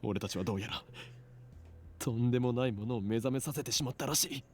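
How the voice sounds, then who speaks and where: A man's voice: medium pitch, rapid-fire, husky, close to an everyday voice. A man voicing a pompous, grandiose young mad scientist in a Japanese visual novel, with theatrical declaiming. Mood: grave, dramatic.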